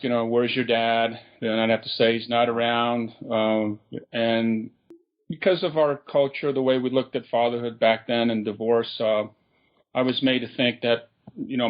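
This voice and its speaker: Halting male guest, dull lo-fi sound. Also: mid-range voice. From Brazil. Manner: halting, with frequent ums and uhs. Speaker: podcast guest. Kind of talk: casual interview storytelling.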